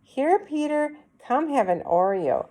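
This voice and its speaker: A woman's voice: high voice, sing-song, takes it slowly, dark tone, voice pushed up, heavily acted. A woman voicing a coaxing young lady in a storybook, talking to a child in a sing-song, persuading way.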